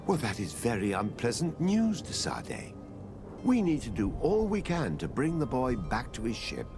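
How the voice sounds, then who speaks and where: A man's voice: mid-range voice, huge pitch swings, moderate pace, music in the background. An actor playing a learned, earnest nobleman in a video game, speaking formally and expressively with concern. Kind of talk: dramatic game dialogue.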